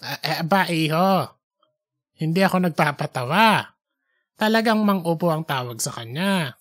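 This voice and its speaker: Someone voicing a slow, pious old man. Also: medium pitch, lively intonation, a bit bright, dry recording. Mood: earnest, matter-of-fact. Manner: slow, deliberate, hesitant openers. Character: pious, earnest 87-year-old Filipino grandfatherly man. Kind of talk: fictional audiobook character dialogue.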